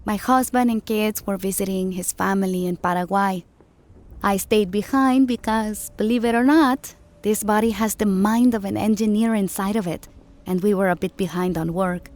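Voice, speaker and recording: A woman's voice: mid-range voice, steady tone, moderate pace, a little mellow, natural voice. A woman playing a proud, candid South American engineer and former dancer in an audiobook, with a South American Spanish accent. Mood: somber. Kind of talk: audiobook character acting. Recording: music behind the voice.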